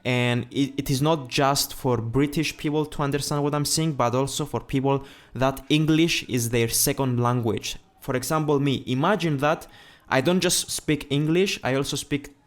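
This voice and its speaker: Brief young Greek-accented guy, fitness vlogger, earnest, plain mid-pitched voice, recorded in a big gym. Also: normal speed. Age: young adult. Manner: earnest, plain wording with restarts. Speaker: fitness youtuber. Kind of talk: casual vlog talk to camera. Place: large indoor gym.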